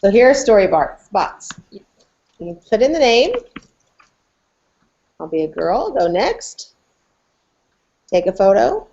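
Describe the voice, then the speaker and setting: A woman's voice: medium pitch, big pitch swings, slow, pauses often, darkish tone. Woman on a dull video-call recording. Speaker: podcast co-host and educator. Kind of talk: casual chat with tool demonstration. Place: small indoor office, video call. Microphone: computer microphone on video call.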